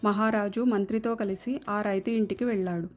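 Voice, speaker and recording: A woman's voice: mid-range voice, fairly even intonation, fairly quick. Woman narrating a story over music. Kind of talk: scripted moral-story narration. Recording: music under the voice.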